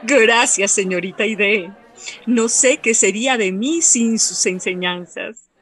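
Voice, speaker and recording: An actress with a Colombian accent playing a humble, grateful and joyful women's activist in a radio drama. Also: female voice, mid-range voice, slow, fairly bright tone, some chatter behind, slight background noise.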